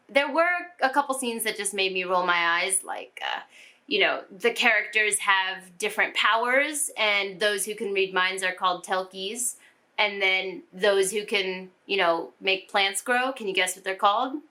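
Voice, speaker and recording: A woman's voice: medium pitch, pushed and bright. A young woman chatting casually about books she read, laughing often and filling with "like" and "you know". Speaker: book vlogger. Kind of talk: casual book review chat. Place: home room with bookshelves.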